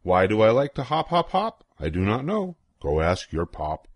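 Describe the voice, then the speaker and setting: Small carefree storybook hopper played by an older man, sing-song, pitch leaping about, dry sound. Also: wildly swooping pitch, normal speed, no room sound. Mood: bouncy, carefree. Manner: bouncy, rhythmic, sing-song. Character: small hopping storybook creature, bouncy and carefree.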